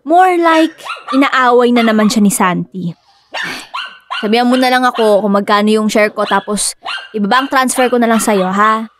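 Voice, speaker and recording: A woman acting in a radio drama as a doting, playful young dog owner, cooing and expressive. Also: a little high, normal speed, background noise, natural voice. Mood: cheerful.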